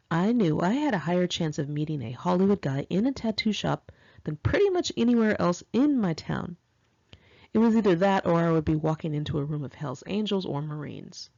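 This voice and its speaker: Animated, lower-voiced American woman narrating. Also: creaky, no room sound, lo-fi sound. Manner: expressive, confiding, girlish slang. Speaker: author reading own memoir. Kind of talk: first-person audiobook narration, conversational and dramatic.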